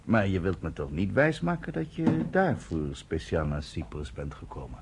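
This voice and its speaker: A man's voice: low-pitched, very animated, moderate pace, vocal fry, very noisy, heavily acted. An actor playing an arrogant British male officer in a radio drama, speaking in a smug, condescending, mocking way.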